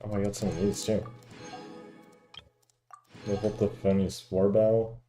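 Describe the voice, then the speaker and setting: A man talks through a video game in a deep, very soft and mellow voice. He mutters to himself casually as he thinks aloud, with music clearly playing behind him. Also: flat delivery, measured pace. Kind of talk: casual gameplay commentary.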